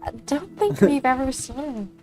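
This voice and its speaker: A woman's voice: high-pitched, very animated, a little slow, music in the background. A woman streamer chatting casually and playfully while she plays a game, giggly in manner. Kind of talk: casual gameplay chat.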